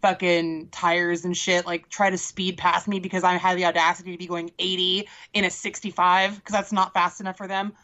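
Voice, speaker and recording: A woman's voice: fairly low pitch, little pitch movement, normal speed, hardly any pauses, a bit bright. A woman co-hosting a casual podcast, bantering with sarcastic, profane humor. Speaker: podcast co-host. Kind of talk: casual chat. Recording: dull lo-fi sound.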